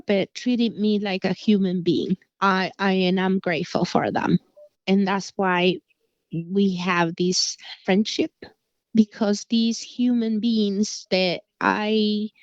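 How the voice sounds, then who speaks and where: Slow, earnest woman from Guatemala. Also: mid-range voice, dry recording. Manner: earnest, heartfelt, simple second-language phrasing. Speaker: listener commenting. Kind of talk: personal testimony. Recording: dull lo-fi recording.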